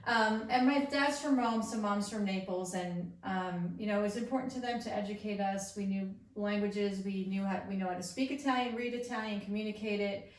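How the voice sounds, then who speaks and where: A woman's voice: medium pitch, fairly quick, hardly any pauses. Woman telling her story in an echoey room. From Long Island, New York. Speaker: podcast guest, lawyer. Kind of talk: casual personal storytelling. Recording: echoey room, distant from the mic.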